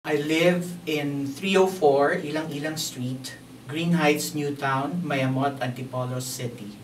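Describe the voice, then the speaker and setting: Very low-voiced slow woman. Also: steady tone, a little hiss or hum. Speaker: interviewee. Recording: echoey room, distant mic.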